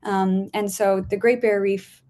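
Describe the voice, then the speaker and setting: A woman's voice: mid-range voice, steady tone, a little slow, dark tone. A woman from Spain who works as a scientist, chatting as a guest over a video call, with many ums, restarts and frequent laughter.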